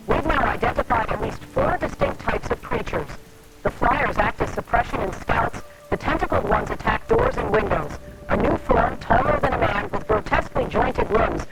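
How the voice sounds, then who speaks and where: A woman's voice: fairly high pitch, creaky. A woman playing a grave, urgent field reporter under siege in a scripted apocalyptic drama, heard through static. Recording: heavy static and noise over field transmission.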